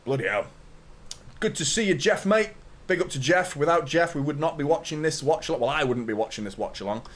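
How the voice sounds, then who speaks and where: A man in his thirties with a slightly hoarse voice, hosting a live football fan stream, chatting to viewers and ranting with strong opinions. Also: fairly high pitch, expressive, fairly quick, fairly bright tone. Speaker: host of a football fan watchalong. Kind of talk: live stream chat and commentary.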